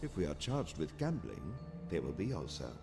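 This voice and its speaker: An actor playing a calm, calculating alien officer in an audio drama, speaking formally and precisely. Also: male voice, sits low, normal speed, exaggerated voice. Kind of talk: audio comic drama acting. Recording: long reverb, music behind.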